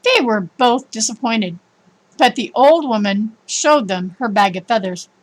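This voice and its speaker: A woman narrating a children's story in an expressive, emphatic storytelling manner. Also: on the high side, measured pace. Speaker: storybook reader.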